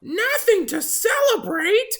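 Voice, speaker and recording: A woman voicing an excitable, festive female oxpecker bird in a children's storybook, chirping with insistent, drawn-out exclamations. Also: very high-pitched, pitched above the performer's own voice, exaggerated voice. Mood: shocked.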